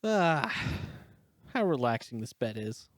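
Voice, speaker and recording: A man's voice: mid-range voice, big pitch swings, slow pace, dry recording, studio quality. A man playing an earnest, humble, brooding teenage brother in an amateur fan-fiction audio story. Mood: relaxed, musing.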